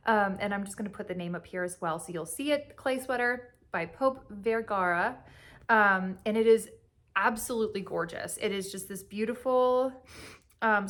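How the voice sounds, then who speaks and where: A woman's voice: mid-range voice, a bit bright. Chatty woman with a sniffly cold. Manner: rambling, chatty, with frequent fillers and laughs. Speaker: knitting podcast host. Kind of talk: casual chat.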